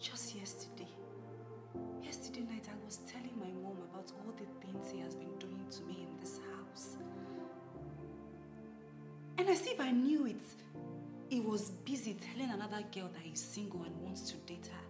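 A woman playing an exasperated wife, scolding loudly and praying in whispers. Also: sits high, very animated, normal speed. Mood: despairing. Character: exasperated wife fed up with her husband. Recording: echoey room with music behind.

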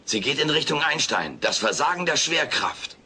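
A man playing a cheeky furry alien in a sitcom audio play, raspy and glib, sarcastically dodging blame. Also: on the high side, lively intonation, normal speed, bright, forceful tone, a little hiss or hum, full character voice. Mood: brazenly evasive. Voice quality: raspy, gravelly. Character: cheeky, wisecracking furry alien houseguest, male.

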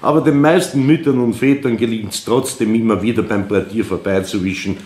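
An actor playing a courteous, officious police official with an Austrian accent in a radio drama, speaking formally and emphatically. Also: male voice, on the low side, steady tone, brisk, darkish tone, lightly acted. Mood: polite, exasperated. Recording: roomy echoing hall.

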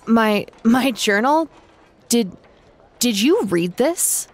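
A woman playing a weary, guarded former dark army officer in a fantasy audio drama, speaking haltingly with sighs. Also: on the high side, lively intonation. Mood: awkward, uneasy. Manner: halting, sighing.

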